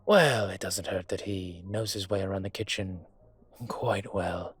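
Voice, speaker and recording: A man acting a suave, older, gentlemanly dragon mafia boss in a romantic roleplay audio drama, courtly and teasing, with a husky voice. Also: low voice, fairly even intonation. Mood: relaxed, proud. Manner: unhurried, courtly, teasing, intimate. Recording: music behind the voice.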